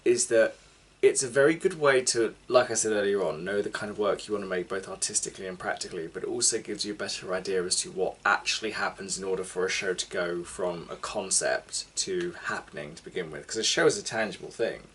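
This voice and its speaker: A young man co-hosting a casual chat, explaining points in an earnest, measured way. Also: deep voice, fairly bright tone, breathy edge. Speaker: co-host.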